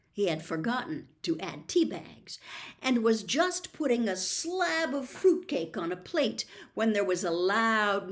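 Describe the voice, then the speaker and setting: A woman reading a children's novel aloud as an expressive storybook narrator. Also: mid-range voice. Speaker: storybook reader.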